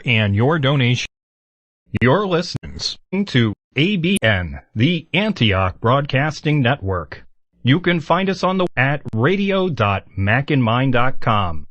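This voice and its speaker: A man's voice: medium pitch, very animated, takes it slowly, a bit bright, no room sound. A man reading scripted announcements as a radio announcer. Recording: slightly dull, dry radio audio.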